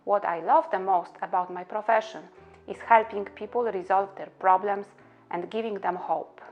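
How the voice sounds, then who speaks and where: Earnest Polish-accented woman attorney, measured scripted delivery, muffled audio with soft music bed. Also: mid-range voice, fairly even intonation. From Poland. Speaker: immigration attorney. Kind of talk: scripted promotional monologue.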